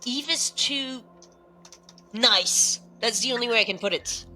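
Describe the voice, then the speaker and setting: A wary woman played by an actress with a bright, sharp, higher voice. She questions and hesitates as she feels for words, in natural audio drama dialogue over faint music. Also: measured pace. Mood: anxious, doubtful. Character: wary female team member, doubtful, questioning.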